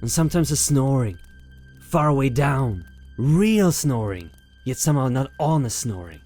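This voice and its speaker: An actor playing a frightened, agitated country shepherd, rambling in folksy rustic speech in an amateur audiobook drama. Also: male voice, mid-range voice, very animated, normal speed, dark tone, soft background music. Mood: uneasy, hushed.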